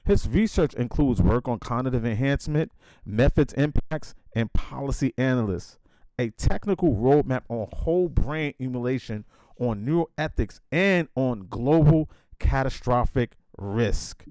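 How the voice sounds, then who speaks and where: Podcast host, male, hesitant halting questions, dark mellow voice, dry sound. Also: medium pitch, animated, no room sound, low-quality recording. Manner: hesitant, many fillers and restarts in questions; emphatic hype intro. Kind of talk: casual interview chat.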